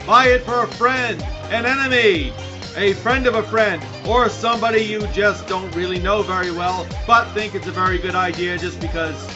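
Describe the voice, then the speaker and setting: A man's voice: high voice, moderate pace. A man hosting a podcast, reading scripted narration as an announcer. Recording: slightly muffled, music behind.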